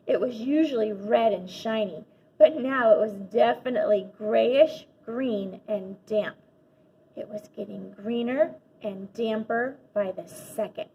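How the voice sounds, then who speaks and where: A woman reading a children's story aloud like a teacher, expressive and animated with dramatic emphasis. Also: fairly high pitch. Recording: dull lo-fi audio with faint noise.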